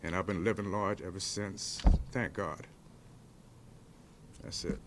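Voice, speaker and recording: A man's voice: low-pitched, steady tone, slow, heavy background noise. A man in his fifties, born in Jamaica, giving short, plainspoken, heartfelt remarks at a press event after receiving a pardon. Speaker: pardon recipient.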